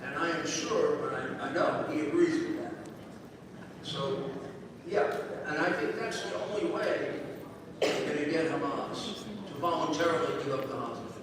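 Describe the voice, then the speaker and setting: Brief: older male guest, slightly high voice, rambling anecdotes, distant mic in a reverberant hall with noise behind. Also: moderate pace. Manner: rambling, frequent restarts and asides. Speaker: guest speaker. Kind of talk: anecdotal storytelling. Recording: echoey hall from far off, background noise.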